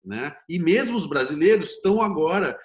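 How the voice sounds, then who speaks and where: A Brazilian man with a slightly high voice and very lively intonation discusses his field casually. He speaks emphatically and in colloquial phrasing. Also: a little fast. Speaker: interview guest, producer and screenwriter.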